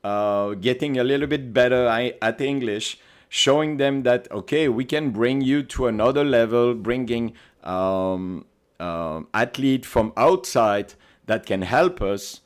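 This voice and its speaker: A man's voice: mid-range voice, a little slow. A man from Belgium with a Belgian accent, chatting as a podcast guest and telling stories animatedly in non-native English. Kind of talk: casual interview chat.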